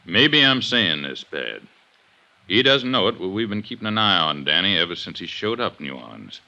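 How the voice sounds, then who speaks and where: An actor playing a gruff, middle-aged, gray-haired police inspector in a 1950s radio drama, blunt and insistent. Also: male voice, deep voice, big pitch swings, normal speed, pushed and bright, full character voice. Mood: probing, placating. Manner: blunt, insistent, clipped questioning. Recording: dull vintage 1950s radio recording.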